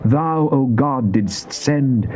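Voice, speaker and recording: A man's voice: mid-range voice, animated, drawn-out words, darkish tone. A man giving a solemn, theatrical, declamatory dramatized reading of scripture. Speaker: Bible reader. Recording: dull, lo-fi cassette with faint noise.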